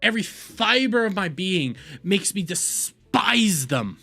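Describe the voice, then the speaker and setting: A man's voice: sits high, very animated, takes it slowly, creaky, sharp tone, slightly rough, soft background music. A man hosting a reaction video, ranting angrily with lots of swearing and repetition. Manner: heated, profane, repetitive ranting. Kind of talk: angry commentary rant.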